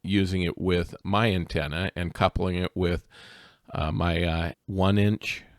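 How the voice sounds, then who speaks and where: A man with a deep voice talks through his hobby project in a relaxed how-to style. He speaks slowly, stopping often for ums and uhs. The sound is dry and close. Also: no echo. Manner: hesitant, many filler sounds. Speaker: hobbyist video maker. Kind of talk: casual how-to narration. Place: outdoors in the desert.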